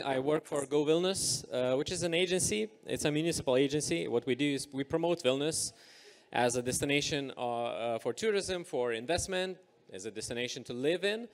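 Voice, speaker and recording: A man's voice: fairly high pitch, normal speed. Energieke man die een paneel leidt. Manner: energetic, many uh fillers. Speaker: panel moderator. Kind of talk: hosting a live panel discussion. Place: event stage.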